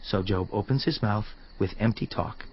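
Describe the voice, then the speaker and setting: A man's voice: lowish voice, normal speed, breathy edge, lo-fi sound. An actor playing an earnest, fervent man who declaims solemnly and emphatically about God's majesty in a dramatized scripture production. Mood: stern. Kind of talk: dramatized scripture reading, oratorical.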